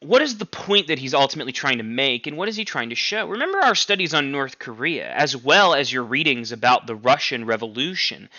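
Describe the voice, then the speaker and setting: Bright-voiced man, expressive teacher reading aloud. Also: mid-range voice, a little fast, hardly any pauses, no room sound, lo-fi sound. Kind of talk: reading a novel aloud for a class.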